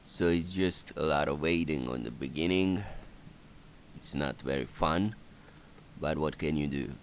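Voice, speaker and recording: Very deep, gravelly male game commentator. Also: slight background noise. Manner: matter-of-fact, instructional, halting. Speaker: game walkthrough narrator. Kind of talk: unscripted strategy game commentary. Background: game ambience and effects.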